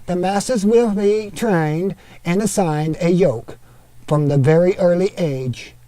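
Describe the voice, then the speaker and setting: A man reading an article aloud in a put-on Norwegian accent, steady and deliberate. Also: sits high, dry recording.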